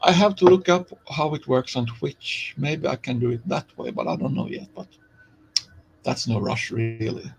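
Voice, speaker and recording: A man's voice: mid-range voice, lively intonation, moderate pace, vocal fry, a little hiss or hum, poor audio. A man from Finland with a Finnish accent, chatting casually on a live stream while he plays, rambling along with many fillers and restarts.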